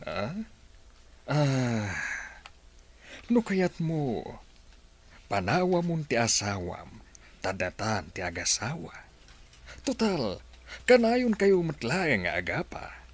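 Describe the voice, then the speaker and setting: A man's voice: mid-range voice, sing-song, slow pace, pauses often. A man playing a lonely, insinuating older village don courting a woman in an Ilocano radio comedy drama.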